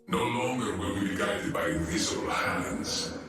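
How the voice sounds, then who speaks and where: Game villain-prophet: solemn, lofty machine herald, distant and reverberant, preaching in grand measured phrases. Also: male voice, deep voice. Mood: grand, prophetic. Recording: echoing game voice clips under loud music.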